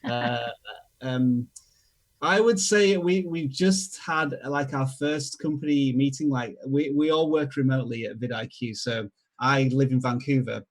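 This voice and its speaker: Yorkshire male guest, casual and halting, mid-range voice. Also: medium pitch. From England. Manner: relaxed, hesitant with many fillers, self-deprecating. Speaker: guest expert. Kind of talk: casual online show chat.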